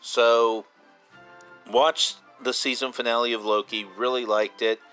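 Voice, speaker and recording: Male podcast host, fifties: bright, punchy, informal fan chat, repeats points for emphasis, faint music bed. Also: medium pitch, fairly even intonation, a little slow, gravelly, clear, resonant tone. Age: 50s. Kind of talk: casual solo podcast talk.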